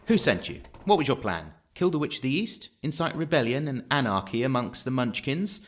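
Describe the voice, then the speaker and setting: A man's voice: medium pitch, sing-song, fairly quick, lightly acted. Man acting an officious, swooping fantasy guardian interrogator. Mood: accusing. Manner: curt, imperious, sarcastic. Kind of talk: audio drama acting.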